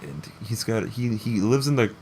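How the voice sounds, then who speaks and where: Low-voiced man playing and commenting, noisy audio. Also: lively intonation, moderate pace, darkish tone. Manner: terse, crude joking. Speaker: let's play player and commentator. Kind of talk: casual game commentary chat. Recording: noticeable background noise.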